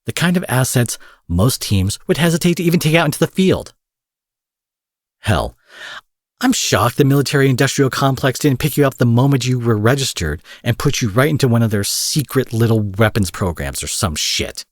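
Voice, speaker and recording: A man acting out a cocky, foul-mouthed young supervillain in an audio roleplay, husky, mocking and possessive, taunting his captive with drawled pet names. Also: medium pitch, expressive, normal speed, no echo, studio quality, natural voice. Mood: smug admiration. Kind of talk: dramatic roleplay monologue addressed to the listener.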